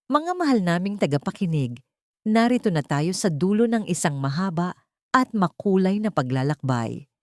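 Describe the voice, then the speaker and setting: A Filipino woman narrates a scripted drama in a fairly low voice. Her delivery is measured, sentimental and literary, and the sound is very dry, with no room echo. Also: big pitch swings, a little mellow. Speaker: story narrator.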